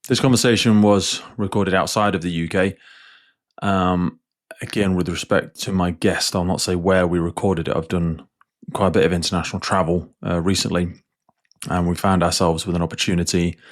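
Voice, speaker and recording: Guy doing podcast host stuff, really deep voice, earnest and measured. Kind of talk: scripted podcast introduction and interview.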